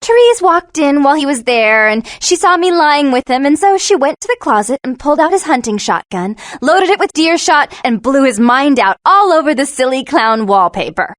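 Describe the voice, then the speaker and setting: High, girlish, taunting vampire vixen. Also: lively intonation, normal speed, few pauses, no echo, clean studio sound. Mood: mocking.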